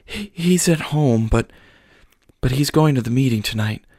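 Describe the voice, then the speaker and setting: A man's voice: mid-range voice, animated, moderate pace, darkish tone, dry recording, clean studio sound, pitched above the performer's own voice, lightly acted. A man putting on a sobbing, pleading mother. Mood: distraught pleading. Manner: tearful, stammering, breaking off mid-sentence. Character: distraught middle-aged mother, sobbing and pleading.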